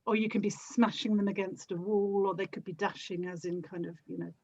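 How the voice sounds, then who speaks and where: A woman chatting casually as a podcast guest, with a gentle, giggly way of talking. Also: medium pitch, fairly even intonation, a little fast, slightly rough, no room sound, dull audio. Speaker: podcast guest, audio drama producer. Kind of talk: casual chat.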